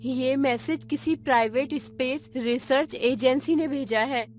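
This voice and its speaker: Woman acting as a bold lady scientist in an audio story, high voice, music behind. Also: unhurried. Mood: resolute. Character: determined, bold woman scientist leading a Moon mission. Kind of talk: dramatized Hindi audio story dialogue.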